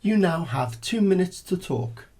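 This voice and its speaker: A man with a gravelly, husky voice reading scripted announcements as a formal, instructional exam presenter. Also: high-pitched, lively intonation, measured pace, dark tone. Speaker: exam examiner and channel presenter.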